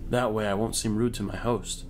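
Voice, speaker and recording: An actor playing a naive, awed young knight in a dramatic play, speaking in halting, wondering phrases. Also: male voice, lowish voice, steady tone, a little hiss or hum, close to an everyday voice. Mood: hopeful, wondering.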